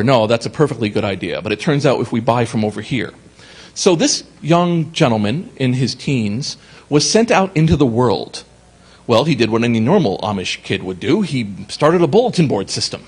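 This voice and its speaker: Man, conference speaker, husky, lively pitch swings, profane comic storyteller. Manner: animated, profane, comic asides and acted-out quotes. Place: conference hall.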